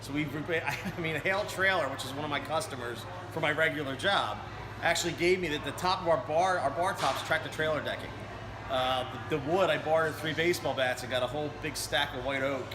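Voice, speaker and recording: A man's voice: a little high, a little fast. Chatty man in an echoey taproom. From Berlin. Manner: conversational storytelling with restarts. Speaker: brewery co-owner. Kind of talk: casual interview. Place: brewery taproom. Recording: echoey room with noise.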